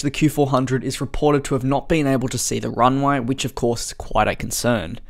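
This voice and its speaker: A man presents as a channel host in a semi-scripted news style. His voice sits at a medium pitch. He explains things earnestly and in a measured, orderly way.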